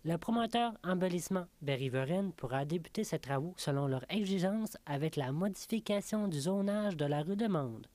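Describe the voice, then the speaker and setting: A woman reporter reading a scripted news piece in a steady, formal way. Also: lowish voice, moderate pace, hardly any pauses, dry recording.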